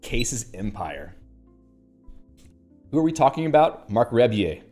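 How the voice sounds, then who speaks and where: A man's voice: medium pitch, very animated, measured pace, darkish tone, faint music behind. A man hosting a casual livestream, chatting in a rambling way with fillers and restarts and reading out viewer comments. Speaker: livestream host.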